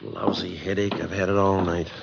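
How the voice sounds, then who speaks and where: A low-voiced American detective sergeant, talks in clipped, understated phrases with a weary, deadpan air. It is 1950s radio police drama, on a dull recording with heavy noise. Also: male voice, moderate pace, vocal fry. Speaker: lead actor and narrator.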